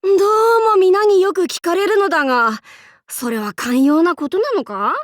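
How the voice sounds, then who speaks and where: Androgynous young warlord, high voice, dry close sound, brash playful swagger, old-fashioned lordly speech, anime game character. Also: female voice, expressive, moderate pace, no room sound. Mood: bemused.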